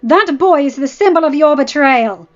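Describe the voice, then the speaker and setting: A woman voicing a bitter, defensive middle-aged noblewoman who speaks high and sharp, in a dull lo-fi recording with faint music. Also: fairly even intonation, normal speed, clean tone, natural voice.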